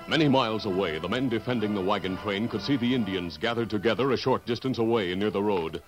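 An American man narrating a 1950s radio drama from a script in a measured, dramatic manner. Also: lowish voice. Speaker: announcer and narrator. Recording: old radio broadcast, music behind.

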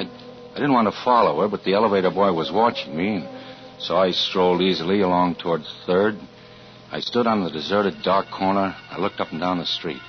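Low-voiced, troubled 1940s investigator. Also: male voice. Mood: anxious. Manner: halting, hesitant confessional narration. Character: troubled married insurance investigator recounting his story, anxious. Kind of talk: 1940s radio suspense acting. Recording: muffled vintage radio recording with music.

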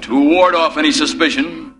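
A man's voice: fairly high pitch, fairly even intonation, moderate pace, close to an everyday voice. Man playing a melodramatic lovesick werewolf in disguise. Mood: confessional, wry. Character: lovesick, anxious male werewolf posing as advertising executive. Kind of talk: 1950s radio comedy acting.

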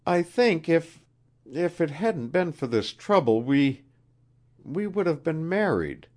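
A man voicing an anxious, earnest young queen in a storybook reading, halting and pleading. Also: fairly high pitch, sing-song, a little mellow, pitched above the performer's own voice.

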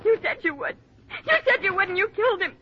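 An actress playing a distraught young rancher's daughter in an emotional, pleading old radio drama style. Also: female voice, very high-pitched, expressive, quick pace. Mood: hysterical, accusing. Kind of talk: 1950s radio drama acting. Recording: muffled, noisy old radio recording.

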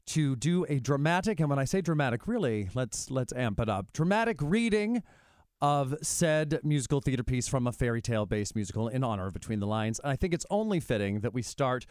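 A man's voice: medium pitch, lively intonation, fairly quick, a little mellow, no room sound, clean studio sound. A man hosting a podcast in a playful, conversational way, with a jokey, theatrical streak. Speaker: podcast host.

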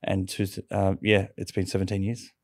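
A man's voice: sits low, monotone, normal speed, a little mellow, no room sound. A middle-aged man from Sydney with an Australian accent, telling his own story conversationally as an interview guest.

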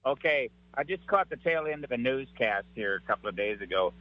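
A man's voice: medium pitch, animated, a little fast. A man calling in to a radio show, casually retelling a news story he caught. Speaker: caller. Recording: dull phone-in line.

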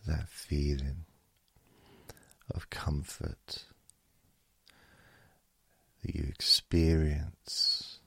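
Very deep, slow, creaky-voiced man. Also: flat delivery, no echo. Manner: very slow, hushed delivery with long pauses. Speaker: hypnosis podcast host. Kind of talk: slow relaxation hypnosis, soothing.

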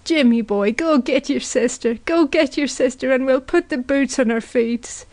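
A woman voicing a coarse, self-pitying mourning mother in an audiobook, with a high, strained, moaning delivery. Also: a little mellow, close to an everyday voice. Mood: shrieking insistence. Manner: moaning, dirge-like, drawn-out. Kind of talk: literary audiobook character acting.

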